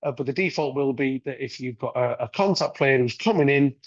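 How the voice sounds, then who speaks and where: A man with a croaky voice from a recent cold presents a webinar as a data manager, explaining things steadily with lots of ums and uhs. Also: medium pitch, a little fast, dry recording. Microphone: video call microphone. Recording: dull, dry online-call audio.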